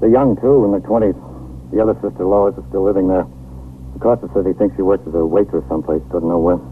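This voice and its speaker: A man acting a brisk, tough-minded New York police lieutenant in a 1950s radio crime drama, terse and commanding. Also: sits low, fairly even intonation, close to an everyday voice. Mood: hard-boiled, focused. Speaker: actor. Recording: tinny, faintly noisy 1950s radio broadcast.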